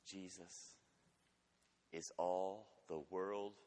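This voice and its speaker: A man preaching a sermon with emphatic delivery, swinging between urgent loud passages and quiet, intimate ones. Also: low-pitched, level tone, extremely slow. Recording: long hall reverb.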